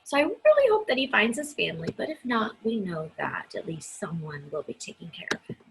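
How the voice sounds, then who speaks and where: A woman reading a children's story aloud in an expressive, chatty style, stressing key words with dramatic emphasis. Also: medium pitch, fairly quick, vocal fry, noisy background. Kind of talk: expressive read-aloud with chatty asides to listeners.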